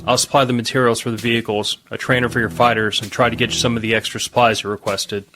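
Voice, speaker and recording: A man playing a gruff, businesslike army major in a dystopian audio drama. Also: mid-range voice, little pitch movement, quick pace, sharp tone, faint music behind, lightly acted.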